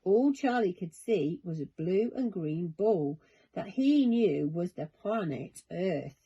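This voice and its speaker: A woman narrating a children's bedtime story in an expressive, measured, dramatic way. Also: lowish voice, breathy edge, lo-fi sound.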